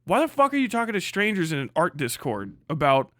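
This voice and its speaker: A man's voice: a little high, very animated, brisk, pushed and bright, no room sound, clean studio sound. A man on a casual livestream rant, blunt and hectoring, swearing heavily as he speaks. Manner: mocks others in put-on voices. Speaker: livestream host.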